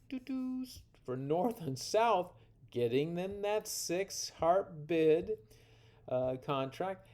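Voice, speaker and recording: Male tutorial presenter, slow delivery with vocal fry, informal, folksy and playful. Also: fairly high pitch, dark tone. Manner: folksy, playful, with exclamations and rambling asides. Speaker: card game instructor and presenter. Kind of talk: informal tutorial narration walking through card hands.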